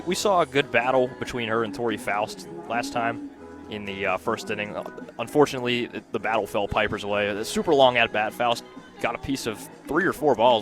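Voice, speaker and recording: A man working as a color commentator, giving conversational analysis during a live softball radio broadcast. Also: medium pitch. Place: outdoor softball game.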